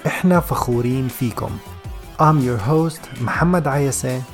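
A man with a gravelly voice, reading a scripted podcast introduction as host. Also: medium pitch, fast talker, faint music behind.

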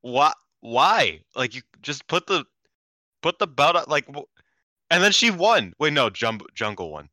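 A man's voice: fairly high pitch, a little slow, dry recording, studio quality. A man with a congested, nasal voice from being sick, chatting casually and animatedly as a podcast co-host. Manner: animated, jokey, swears casually. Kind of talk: casual chat.

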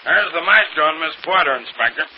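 An actor playing a blunt, wisecracking police sergeant with slangy streetwise talk in a 1930s radio drama. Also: male voice, a little high, lively intonation, a little fast, close to an everyday voice. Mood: gruff, wry. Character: wisecracking, rough-mannered male police sergeant. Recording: muffled, noisy old radio recording.

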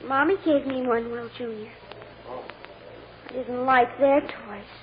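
A woman's voice: on the high side, slow pace. An actress playing an earnest, stubborn six-year-old girl, childlike and innocent, in a 1940s radio drama. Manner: childlike, innocent, plain-spoken. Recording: dull, noisy old radio recording.

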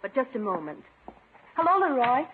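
A woman playing a coy, genteel sweetheart in a 1950s radio sitcom, politely wheedling in a husky voice. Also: on the high side, sing-song, moderate pace, a little hiss or hum. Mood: polite, coaxing. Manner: coy, polite, gently manipulative. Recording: dull, muffled 1950s radio recording.

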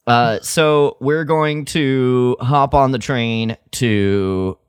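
A man's voice: medium pitch, extremely slow, clean tone, no room sound, studio quality. A man co-hosting a podcast, explaining lore in a casual, informal way and often repeating his words. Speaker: podcast co-host. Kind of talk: casual fan discussion of lore.

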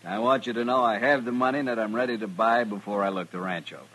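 An actor playing a polite, persuasive, self-assured city buyer in an old-time radio western. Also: male voice, on the low side, steady tone, fast, a bit bright, rough recording. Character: smooth-talking, insistent male city buyer. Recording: vintage radio recording.

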